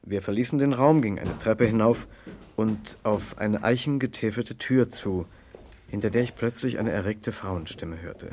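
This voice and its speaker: A man playing the observant narrator of a German radio crime play, telling the story in a measured, literary way. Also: on the low side, a little mellow, a little hiss or hum, natural voice. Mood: measured storytelling. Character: observant male first-person narrator of a detective story.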